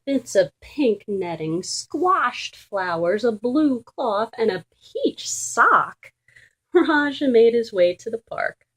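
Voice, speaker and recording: A woman reads a children's story aloud with deliberate emphasis. Her voice sits fairly high with a breathy, husky grain, and she takes her time over each phrase in an expressive storytelling style. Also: poor audio. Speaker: children's book reader.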